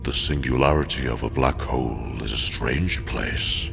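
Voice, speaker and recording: A man playing a wry, knowing cosmic being with a gravelly voice and theatrical, deliberate delivery in a science-fiction audio drama. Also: a bass voice, over music, exaggerated voice. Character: ancient-seeming cosmic entity, wry, knowing, patient. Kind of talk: sci-fi audio drama acting.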